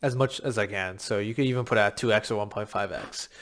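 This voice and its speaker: A man with a slightly raspy, worn voice, coaching viewers on a crypto game in a rambling, filler-heavy pitch, a little short of breath. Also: fast, fairly bright tone. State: short of breath from long talking. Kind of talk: casual talking-head explainer.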